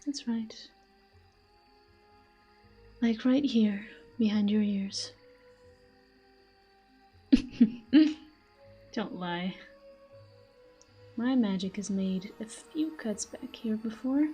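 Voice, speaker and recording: A woman playing a possessive, teasing fantasy villain in an intimate audio roleplay, with a soft, breathy voice and a smug manner. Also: mid-range voice, drawn-out words, long pauses, faint music behind. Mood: flirtatious, intimate. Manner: smug, taunting, breathy murmurs. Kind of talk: intimate scripted audio roleplay addressed to the listener.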